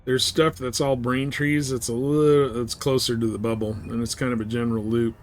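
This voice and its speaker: A man's voice: mid-range voice, little pitch movement, brisk, slight background noise. A man livestreaming a space game, giving casual, offhand commentary and chatting with viewers in short remarks. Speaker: live streamer playing a space game.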